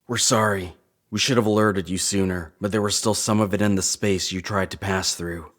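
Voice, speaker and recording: A man's voice: sits low, fairly even intonation, moderate pace, fairly bright tone. An actor playing an earnest, remorseful male crewman in a sci-fi horror audio drama. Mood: apologetic, earnest.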